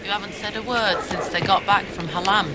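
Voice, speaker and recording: A man's voice: high voice, steady tone, a little fast, a crowd in the background, lightly acted. A man voicing a cheeky, probing townsman in a video game, talking in clipped, informal questions. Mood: needling.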